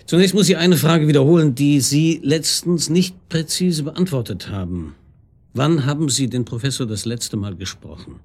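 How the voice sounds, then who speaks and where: An actor playing a shrewd homicide detective in a radio crime drama, probing with dry irony. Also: male voice, a little high, normal speed, darkish tone. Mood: firm, official. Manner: ironic, probing, chuckling understatement.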